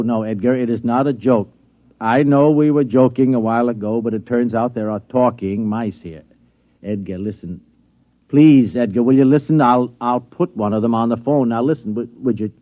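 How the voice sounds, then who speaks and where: An actor playing a flustered security chief who stammers and repeats himself, in a 1950s radio drama. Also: male voice, medium pitch, lightly acted. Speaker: security chief at an atomic laboratory. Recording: dull old radio recording.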